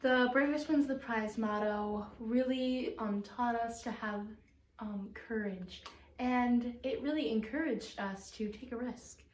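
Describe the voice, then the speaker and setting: A woman playing a devout religious sister from eighteenth-century France as an interview guest, speaking earnestly and a little haltingly in an amateur role-play. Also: on the high side. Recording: roomy, distant mic with background noise.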